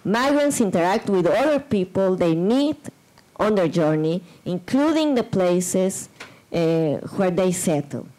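A woman reading a prepared academic paper at a conference panel, slow and measured with hesitant fillers and restarts, into a desk microphone in a large lecture room. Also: on the low side, expressive, warm tone, poor audio.

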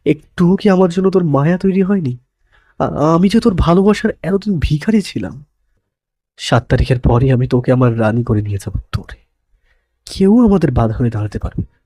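A man's voice: on the high side, expressive, normal speed, long pauses, dark tone, no echo. A man playing an intense, possessive young lover in a romantic audio story, pleading dramatically and mixing English words into his speech. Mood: tender, pleading.

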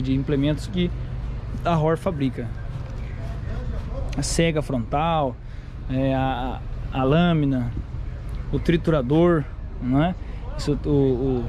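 A man, hosting a casual walkaround of farm machinery and talking in a chatty way, with frequent tag questions and restarts. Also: on the high side. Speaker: channel host reviewing farm machinery. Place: outdoors at a farm machinery fair. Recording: noisy outdoor fair.

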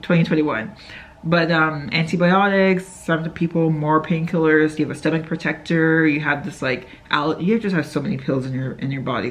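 Chatty young woman, rambling vlog. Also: low voice. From Canada. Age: young adult. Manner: rambling, chatty, many fillers and self-corrections. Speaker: vlogger. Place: hotel room, indoors, sitting in bed. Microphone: phone camera held close.

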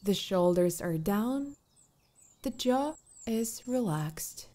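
A woman leads a guided meditation at a very unhurried pace. Her tone is mellow and relaxed, with a medium pitch. She gives calm, soothing instructions with long pauses between them, over birdsong. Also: a little hiss or hum.

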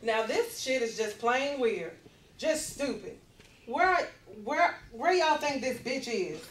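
A woman's voice: high-pitched, sing-song, slow, heavily acted. A woman playing a brash, folksy, irritable mama in a script table read. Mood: annoyed. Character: irritable, worried Southern party-hosting mama. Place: conference room around a long table. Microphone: camera mic across the room. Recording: distant, echoey meeting room with noise.

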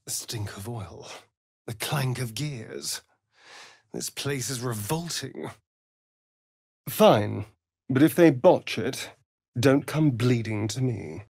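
An actor playing a flamboyant, sardonic vampire rogue in a video game, with an arch, drawling, theatrical delivery. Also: male voice, medium pitch, slow, gravelly, long pauses, darkish tone, husky, dry recording, clean studio sound, exaggerated voice. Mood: disdainful.

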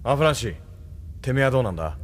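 An actor playing a rough, confrontational street tough in a Japanese audio drama, coarse and goading in manner. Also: male voice, medium pitch, very animated, rapid-fire, lightly acted.

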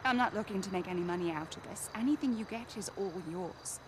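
An actress playing a composed young heiress who speaks formally and precisely. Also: female voice, medium pitch, fast, background noise, lightly acted. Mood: earnest. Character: poised young female heiress, cool and determined. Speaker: casino heiress.

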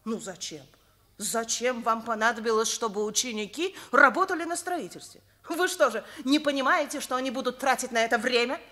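An actress playing a rigid, principled older schoolteacher, emphatic and lecturing, in Soviet radio theatre. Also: female voice, a little high, lively intonation, fairly quick, bright, forceful tone. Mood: stern, reproachful.

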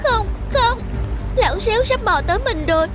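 A woman voicing a small, anxious cartoon snail, fretful and exclamatory, in an animated fable. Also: extremely high pitch, normal speed. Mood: panicked. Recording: music under the voice.

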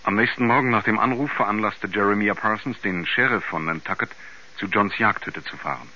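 An actor playing a serious investigator, narrating a mysterious case in a measured, questioning way for a radio play. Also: male voice, on the low side, pushed and bright, heavily acted. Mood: sober, grave. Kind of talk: scripted documentary-style radio play narration. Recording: dull 1970s radio recording, clear background noise.

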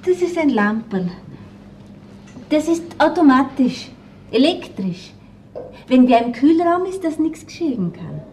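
An actress playing a simple, chatty butcher shop employee in a crime radio play, naive and plain-spoken, with a Viennese accent. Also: female voice, high-pitched, sing-song, measured pace, pauses often, darkish tone, heavily acted. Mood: explaining.

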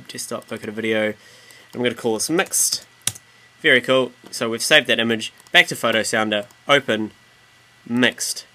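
A man giving a casual, informal software tutorial as a music production tutor. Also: fairly low pitch. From New Zealand. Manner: informal, mildly sweary. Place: indoors, voice-over on screen recording.

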